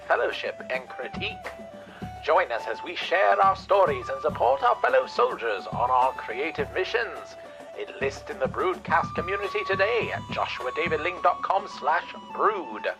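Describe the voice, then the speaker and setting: A man's voice: on the high side, gravelly, rough recording. A man reading a scripted promo as an ad announcer, in an emphatic, theatrical recruitment style. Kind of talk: scripted promo in rallying military-recruitment manner. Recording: loud music bed under voice. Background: music.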